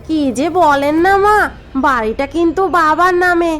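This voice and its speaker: A woman's voice: very high-pitched, normal speed, a bit bright, soft background music. A woman voicing a scheming elder daughter-in-law in a cartoon story, sounding confident and conniving. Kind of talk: cartoon voice acting.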